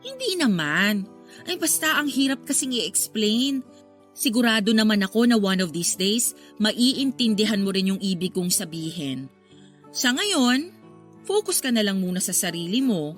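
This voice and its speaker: An actress playing a caring, frank older sister in a radio drama, talking in an earnest, coaxing, conversational way. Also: female voice, medium pitch, big pitch swings, a little slow, faint music behind. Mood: casual coaxing. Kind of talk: Filipino radio drama acting.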